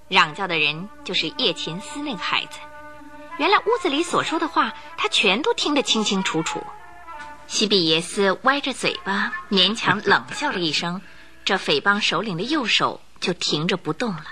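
A woman's voice: medium pitch, very animated, moderate pace, over music. A woman narrating a radio drama in a vivid, dramatic storytelling manner.

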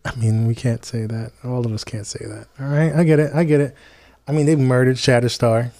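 A man's voice: mid-range voice, steady tone, fairly quick, warm tone. A man co-hosting a casual movie podcast, laid-back and dry, with a teasing streak. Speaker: podcast co-host.

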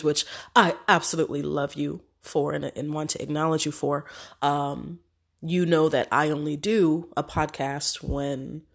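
A woman hosting a casual solo podcast, congested from a cold, chatty and affirming with many fillers. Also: low-pitched. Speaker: podcast host.

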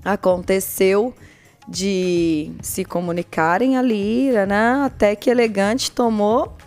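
A woman's voice: mid-range voice, fairly even intonation, slow pace, darkish tone, soft background music. A woman with a Brazilian accent telling a story casually and playfully, in colloquial language, acting out the dialogue as she goes. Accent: Brazilian Portuguese. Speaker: podcast host telling listeners' dating stories. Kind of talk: casual comic storytelling chat.

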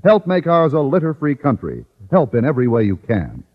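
A man's voice: mid-range voice, wildly swooping pitch, fairly quick. An American man announcing in an earnest, emphatic, scripted 1950s radio style, as for a public service message. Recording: muffled old radio broadcast.

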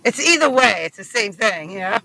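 A hoarse man, chatting as a passenger in a car and laughing often. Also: sits high, expressive, a little fast, bright, forceful tone, rough recording. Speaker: rideshare passenger. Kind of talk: casual chat. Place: inside a car.

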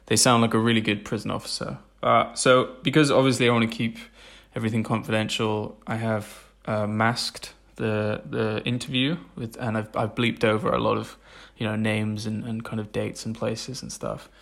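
Deep gravelly man, flat delivery. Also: moderate pace, few pauses. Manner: hesitant, many fillers and restarts. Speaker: podcast host. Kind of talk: casual spoken introduction.

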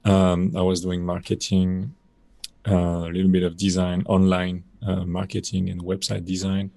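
A deep, gravelly man with a French accent speaks as a podcast guest, rambling easily with lots of fillers. His tone stays level and flat, and the sound is close and dry. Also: moderate pace, no echo. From France. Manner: relaxed, rambling, many fillers. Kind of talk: casual conversational interview.

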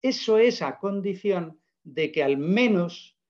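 A man's voice: high voice, expressive, slow, darkish tone, highs cut off. A man, giving an emphatic, persuasive explanation full of rhetorical questions in a casual interview. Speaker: guest presenting a product.

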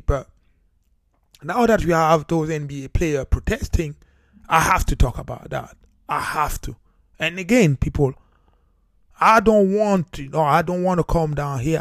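A man's voice: fairly high pitch, vocal fry, dry recording, studio quality. A man from Cameroon hosting his own talk show, speaking earnestly with many fillers and restarts. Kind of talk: solo podcast monologue. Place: United Kingdom.

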